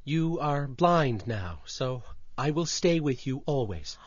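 A woman's voice: very deep voice, very animated, takes it slowly, no echo, lo-fi sound, heavily acted. A woman voicing a small, devoted male swallow in a storybook reading, earnest, tender and wistful. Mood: devoted, sad.

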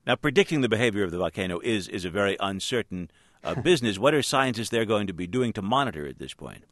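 Low, lively male news anchor, dry studio. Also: no echo. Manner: measured, scripted introductions. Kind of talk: broadcast news hosting.

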